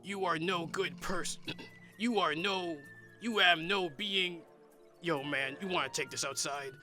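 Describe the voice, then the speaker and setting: Man playing a bright, forceful dim villain clone. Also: fairly high pitch, unhurried, soft background music. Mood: flustered, threatening. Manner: broken, backwards caveman grammar. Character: dim, irritable backwards-talking super-powered villain clone. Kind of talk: comedic radio play acting.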